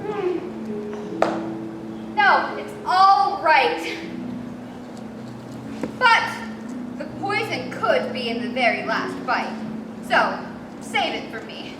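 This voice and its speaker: A teenage young woman acting a self-important, greedy food taster in a stage play, reciting rhymes in an exaggerated, deliberate singsong. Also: sits high, exaggerated voice. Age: teens. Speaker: student stage actor. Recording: echoey hall, distant mic, loud music.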